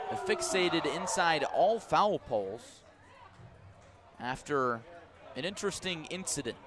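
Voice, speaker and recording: American man calling baseball on radio. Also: noisy background. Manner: conversational, wry asides, clipped pitch calls. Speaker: baseball play-by-play broadcaster. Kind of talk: live radio sports commentary mixed with storytelling.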